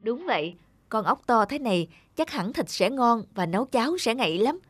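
An actress playing a blunt, pushy middle-aged village woman in an animated folk tale, matter-of-fact and scornful. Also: on the high side, normal speed, breathy edge, no room sound. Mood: coaxing, insistent. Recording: music under the voice.

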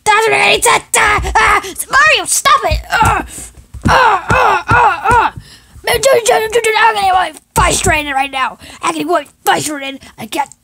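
Woman voicing whiny male game character, very high pitch, animated swings, easily frustrated, stammering, repetitive, comic skit. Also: moderate pace, few pauses, heavily acted. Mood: screaming frustration.

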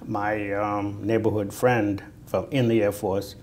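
A man in his sixties with a Boston accent, recalling his army service in a halting, conversational oral history interview. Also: on the low side, little pitch movement, a little mellow. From Natick, Massachusetts, United States. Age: 60s. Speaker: Vietnam War veteran being interviewed. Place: indoor room, seated on camera. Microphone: lapel. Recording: noticeable background noise.

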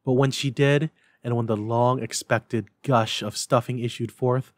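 A man giving an expressive, deliberate dramatic reading of a classic Christmas story. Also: medium pitch, no room sound. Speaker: library staff story reader.

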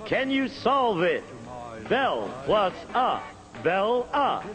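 A man announcing a TV game show, drawing out words in a booming showman style. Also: sing-song. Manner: booming, drawn-out announcer delivery. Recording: announcer over theme music and audience.

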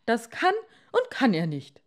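Woman playing a meek, slow-talking henpecked husband. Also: a little high, huge pitch swings. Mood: exasperated protest. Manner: plaintive, sighing, hesitant. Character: meek, reluctant old-tale fisherman husband, henpecked and troubled.

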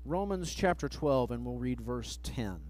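Man, middle-aged, preacher, pulpit microphone, sermon, measured scripture reading, average pitch. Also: lively intonation, darkish tone. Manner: measured, reads verses slowly, trails off at phrase ends. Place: church sanctuary, at a pulpit. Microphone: pulpit microphone, far camera.

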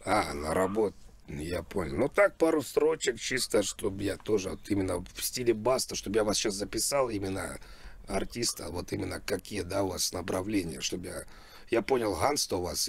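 A man's voice: deep voice, normal speed, vocal fry, breathy edge. A man making a prank call as a music producer, chatting casually in repetitive patter. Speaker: prank caller posing as a rap label producer. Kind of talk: casual phone talk.

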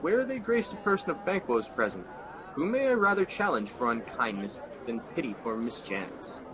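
A man's voice: mid-range voice, very animated, moderate pace, noisy background, lightly acted. A man playing a guilt-haunted king in a radio drama, speaking in a theatrical manner. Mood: horrified. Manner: theatrical, swings from host to horror. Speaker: actor.

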